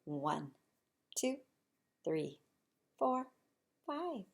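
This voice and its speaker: A woman's voice: lowish voice, sing-song, drawn-out words, creaky. A woman preschool teacher leading young children through a simple lesson, explaining step by step and asking encouraging questions. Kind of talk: read-aloud and shape activity lesson for young children.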